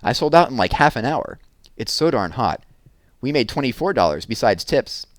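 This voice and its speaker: A man voicing a brisk, breezy young neighborhood girl in a children's storybook. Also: mid-range voice, very animated, no room sound, voice pushed up, natural voice. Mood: breezy, pleased.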